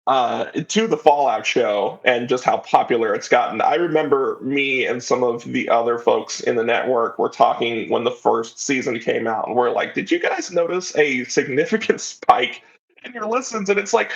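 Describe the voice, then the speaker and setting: A man's voice: medium pitch, normal speed, creaky, lo-fi sound. A man giving a casual, thoughtful, rambling answer as an interview guest. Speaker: interview guest, audio drama writer and creator.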